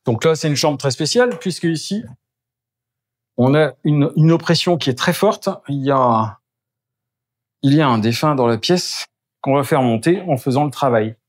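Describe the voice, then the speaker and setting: A man's voice: a little high, moderate pace, dry recording. A man working as a geobiologist, walking through a house and explaining each step of his work to the camera. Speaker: geobiologist doing an energy clearing. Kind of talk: on-the-spot demonstration with explanation. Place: indoors in a house, moving between rooms.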